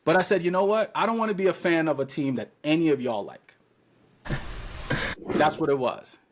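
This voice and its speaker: A man in his thirties, originally from Trinidad and Tobago, chats casually as a podcast guest. His voice sits a touch high for a man, and he tells animated stories, playing out what he once said. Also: a little fast. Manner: animated storyteller, acts out his own past words.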